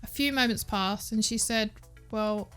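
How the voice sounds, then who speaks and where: A woman's voice: on the high side, measured pace, fairly bright tone, smooth, soft background music. A woman narrating a scripted gaming news recap as the host of an online show.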